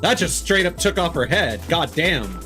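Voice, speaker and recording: A man's voice: fairly high pitch, big pitch swings, moderate pace, over music. A man doing casual live game commentary, chatting with viewers and rambling as he thinks aloud. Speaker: live streamer playing a video game.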